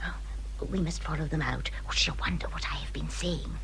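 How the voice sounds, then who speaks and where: An actress playing a middle-aged country gentlewoman in a period radio drama, affectionate and fussy, with an English accent and an effusive, confiding manner. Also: low-pitched, normal speed, gravelly, whispered, full character voice. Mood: confiding grumble.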